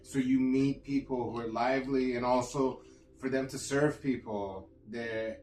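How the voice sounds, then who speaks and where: A man chatting casually in a hesitant, halting way. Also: mid-range voice, fairly even intonation, darkish tone, roomy sound. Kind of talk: casual chat.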